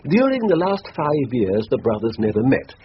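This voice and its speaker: Actor as precise elderly English solicitor, lively intonation. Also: male voice, medium pitch, moderate pace, lightly acted. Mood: dry explaining. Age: older. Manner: formal, precise, dry. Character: elderly, dusty, stiff-collared solicitor, precise and formal. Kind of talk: radio drama acting. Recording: dull, noisy old radio recording.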